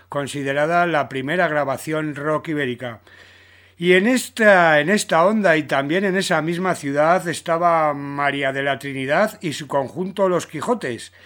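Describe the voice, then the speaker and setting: A man's voice: fairly high pitch, a bit bright. A man from presenting and commenting on music as a radio host.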